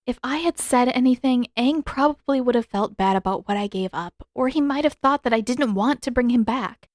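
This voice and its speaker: A woman's voice: on the high side, moderate pace, no echo, studio quality, voice pushed up, close to an everyday voice. A woman voicing an earnest, conflicted teenage girl in a fan fiction audiobook, speaking with some hesitation. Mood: regretful.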